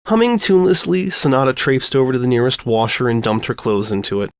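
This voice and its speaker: A man reading a scripted story aloud as an expressive storyteller. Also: mid-range voice, fast, dry recording, clean studio sound.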